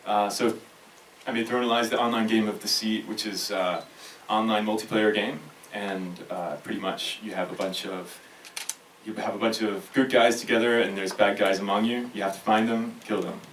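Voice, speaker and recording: A man's voice: fairly low pitch, steady tone, normal speed, a bit bright. An American man from California speaking casually and hesitantly as a game developer on a panel. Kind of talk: casual self-introduction at a panel. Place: live talk event in a room. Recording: echoey room, distant mic, faint noise.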